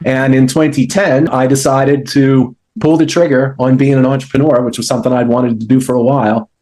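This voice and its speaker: A man's voice: medium pitch, fairly even intonation, brisk, mellow. Man telling a career story. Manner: anecdotal, acts out quoted dialogue. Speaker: sales entrepreneur. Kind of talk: storytelling interview.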